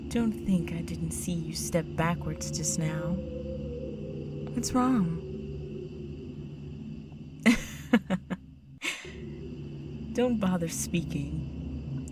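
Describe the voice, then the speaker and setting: A woman playing a predatory, condescending vampire countess in an intimate audio drama, with a teasing, aristocratic manner and breathy whispers. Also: lowish voice, heavy background noise. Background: music.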